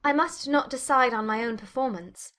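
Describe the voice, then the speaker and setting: A woman playing a witty, spirited young Regency gentlewoman with an arch, teasing manner in a dramatized audiobook. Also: on the high side, normal speed, natural voice.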